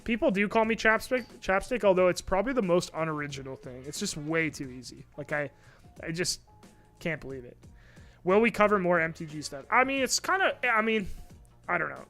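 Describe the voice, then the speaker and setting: A man's voice: sits high, animated, fairly quick, bright, forceful tone, soft background music. A man co-hosting a live stream, chatting casually with lots of hesitations and the odd swear. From Canada. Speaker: co-host. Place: studio.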